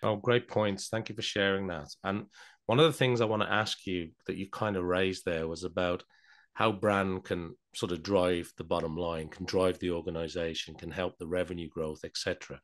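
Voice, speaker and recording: A man's voice: deep voice, fairly even intonation, normal speed, fairly bright tone. A man hosting an interview show, introducing his guest and asking long, friendly questions, lifting his voice as he poses them. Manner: welcoming, lifts his voice on questions. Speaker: podcast host.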